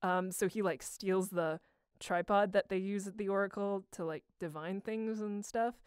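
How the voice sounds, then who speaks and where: A woman's voice: mid-range voice, moderate pace, clean tone, no room sound, studio quality. A young woman telling stories in a casual, slangy, irreverent way, rambling as she goes. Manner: slangy, irreverent, animated retelling with acted quotes. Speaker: amateur voice actor answering a question.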